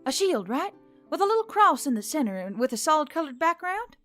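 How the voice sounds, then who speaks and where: A woman voicing a nervous, sharp-tongued young woman who talks in a casual, emphatic, slangy way in an audiobook story, with music behind. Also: high-pitched, sing-song, fairly quick, voice pushed up. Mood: excited. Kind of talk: audiobook character dialogue.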